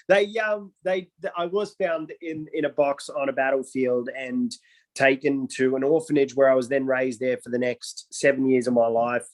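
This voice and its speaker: A young man, originally from Iraq, telling his life story as an interview guest in an earnest way with the odd joke. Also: medium pitch. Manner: earnest and storytelling, with playful jokes. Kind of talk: casual interview talk.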